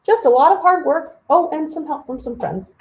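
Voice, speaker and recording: A woman's voice: sits high, animated, moderate pace, a little mellow, slight background noise, voice pushed up, rough recording. A woman voicing a cheeky, modest young man in a storybook, cheerful and polite. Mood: modest, assured. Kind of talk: storybook character voice.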